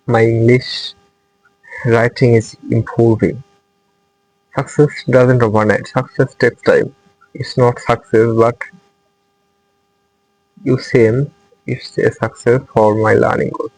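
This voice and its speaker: A man's voice: mid-range voice, gravelly, low-quality recording. A young man from Bangladesh whose first language is Bengali, speaking English with a Bangladeshi accent as he earnestly gives a motivational talk to his channel's viewers. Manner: earnest, repetitive phrasing in non-native English. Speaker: English-learning channel host.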